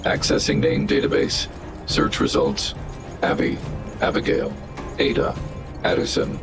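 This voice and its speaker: A man's voice: unhurried, natural voice. A man voicing a huge, stern robot guardian in a video game, speaking in clipped, formal, coldly analytical phrases. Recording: game music behind the voice.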